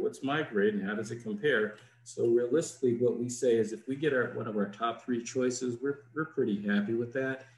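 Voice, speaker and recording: A man, a diplomat guest, answering questions in a plain, measured, explanatory way over a video call. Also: medium pitch. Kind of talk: informative answers in an interview. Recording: roomy echo.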